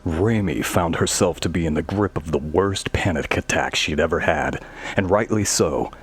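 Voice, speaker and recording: A man's voice: sits low, moderate pace, breathy edge. A man giving scripted narration of a horror audio story.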